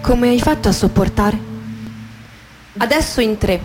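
Measured woman reading dramatically over music. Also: fairly high pitch, lively intonation. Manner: measured, short phrases with deliberate pauses. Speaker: actress reading a dramatised memoir. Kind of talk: dramatic literary narration, radio theatre. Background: guitar music behind the voice.

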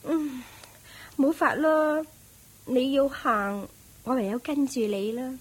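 An actress playing a brazen, world-weary courtesan in a Cantonese radio drama, teasing and mocking with a Hong Kong accent. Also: female voice, high voice, slow, slightly rough, heavily acted, low-quality recording. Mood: flirtatious, teasing.

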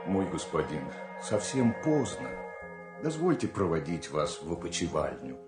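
An actor playing a loyal old royal servant, gentle and deferential, in an audio play. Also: male voice, low-pitched, big pitch swings, measured pace. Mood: gently concerned. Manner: deferential, solicitous. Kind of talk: fairy-tale audio play acting. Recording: roomy echo. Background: music.

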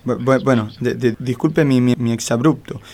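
A man's voice: mid-range voice, fairly even intonation, moderate pace, a little mellow, natural voice. An actor playing an insecure, lovelorn man in a comic radio play, with a heavy nervous stutter and an Argentine accent. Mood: anxious, earnest. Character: insecure, lovelorn, short homely man, a detective's client.

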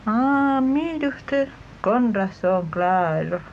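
A woman playing a chatty, prying Argentine customer in a 1980s radio drama, brisk and inquisitive. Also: on the high side, animated, slight background noise, full character voice. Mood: chatty, nosy. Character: chatty, prying female customer collecting homemade jam. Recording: muffled 1980s archive radio tape.

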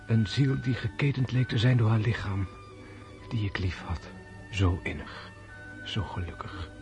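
An actor in a Dutch radio play voicing a disillusioned, brooding military officer, intense and bitter, with halting, reflective pauses. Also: male voice, on the low side, close to an everyday voice. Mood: grieving, wistful. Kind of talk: radio drama acting.

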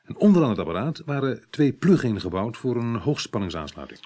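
An actor playing a businesslike, matter-of-fact police detective in a Dutch radio drama, speaking colloquially with slight hesitations. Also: male voice, mid-range voice, sing-song, fairly quick, mellow, husky. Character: businesslike male police detective reporting on a case. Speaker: police investigator.

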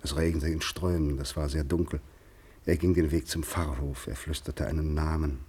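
Very deep-voiced male narrator. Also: fairly even intonation, darkish tone, husky. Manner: measured, short deliberate phrases. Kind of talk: literary radio play narration.

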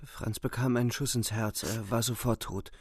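A man's voice: mid-range voice, fairly even intonation, normal speed, slightly rough, no room sound, clean studio sound. An actor playing a weary, alienated young soldier, narrating his inner thoughts in a brooding, intense radio drama monologue. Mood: sombre, strained. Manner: brooding, intense, insistent repetition.

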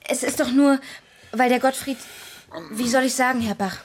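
An actress in a German radio drama playing a naive, chatty servant girl who rambles haltingly, devoted and deferential. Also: high-pitched, fairly even intonation, a little hiss or hum, close to an everyday voice. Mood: flustered, apologetic.

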